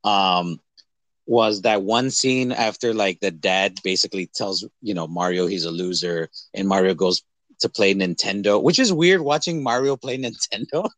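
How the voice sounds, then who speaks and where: Rambling, animated man chatting about movies. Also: fairly low pitch, normal speed, no echo. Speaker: podcast co-host. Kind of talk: casual movie chat.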